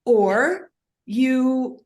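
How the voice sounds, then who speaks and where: An older woman whose first language is Greek, a guest author talking slowly in a conversational interview with many fillers and restarts. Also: high-pitched, lively intonation, darkish tone. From United States (Michigan).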